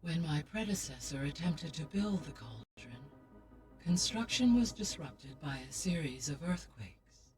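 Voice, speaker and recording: A calm, clinical AI intelligence. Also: female voice, sits low. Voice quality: measured, clinical delivery. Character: calm, precise female AI intelligence. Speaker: AI companion in a video game. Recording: distant game audio with faint music.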